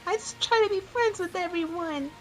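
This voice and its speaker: A woman's voice: very high-pitched, normal speed, soft background music, pitched above the performer's own voice, low-quality recording. A woman voicing a sweet, polite young girl rabbit in a fan-made cartoon question show. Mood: indignant.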